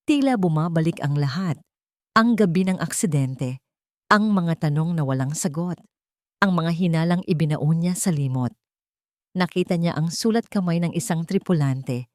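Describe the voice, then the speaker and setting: A woman's voice: low voice, darkish tone, no room sound, studio quality. A woman reading a dramatic scripted story as an audio drama narrator.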